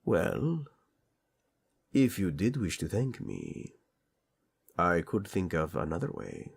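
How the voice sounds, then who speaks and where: Husky, low-voiced romantic prince, acted by a man. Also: huge pitch swings, slow pace, exaggerated voice. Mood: playful, flirtatious.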